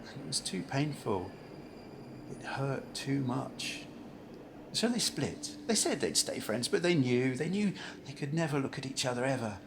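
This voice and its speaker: A man's voice: medium pitch, very animated, measured pace, creaky. A man acting a bitter, grief-worn former banker down on his luck in an amateur radio play, blunt, cynical and world-weary. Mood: grieving. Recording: home recording with faint background noise.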